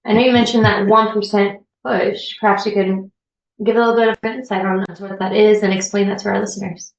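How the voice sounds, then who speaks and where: Woman, podcast host, upbeat, polished, mid-pitched voice, roomy echo. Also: fairly even intonation, brisk, vocal fry, dull audio. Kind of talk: podcast interview hosting.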